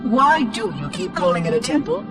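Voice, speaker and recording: A fussy little robot caretaker with a high, bouncy voice. He speaks in a prim, condescending, quick way, swooping all over his range. Game cutscene with a score underneath. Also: big pitch swings, music in the background. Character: fussy chirpy male AI monitor robot.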